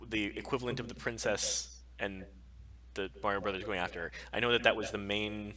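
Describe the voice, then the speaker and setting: A man's voice: fairly low pitch, fairly even intonation, a little fast, bright, forceful tone, poor audio. A man co-hosting a casual chat stream, giving opinions in an emphatic, rambling way. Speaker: co-host of a weekly pop culture stream.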